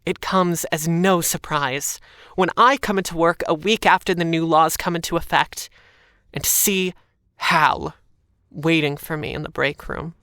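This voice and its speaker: A woman playing a wry, defiant working-class heroine, telling her own story deliberately in an audio drama. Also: low-pitched, steady tone, a bit bright, no echo, studio quality. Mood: bitter, wry. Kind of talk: first-person audio drama narration.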